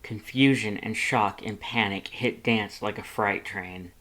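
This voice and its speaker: A narrator reading fan fiction aloud as a dramatic story, with expressive and intense delivery. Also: mid-range voice, measured pace. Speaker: audiobook reader of fan fiction.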